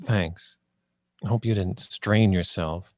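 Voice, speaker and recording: A woman as a mellow, guarded teen girl leader. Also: rumbling low, takes it slowly, no echo, clean studio sound. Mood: dry. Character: tough, tired teenage girl leading orphaned kids. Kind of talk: screenplay table read.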